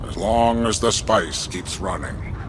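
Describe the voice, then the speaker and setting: An actor playing a cold crime syndicate enforcer with flat, measured speech. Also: male voice, fairly low pitch, close to an everyday voice. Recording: ambient noise behind.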